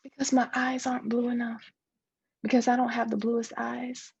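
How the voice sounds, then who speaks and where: Woman reading as a breathy, pleading young girl. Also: fairly high pitch, little pitch movement, normal speed, darkish tone, lo-fi sound, voice pushed up, heavily acted. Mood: pleading, fretful. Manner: insistent questioning, breathy pleading. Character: young girl, anxious, pleading, obsessed with having blue eyes. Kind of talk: dramatic literary read-aloud.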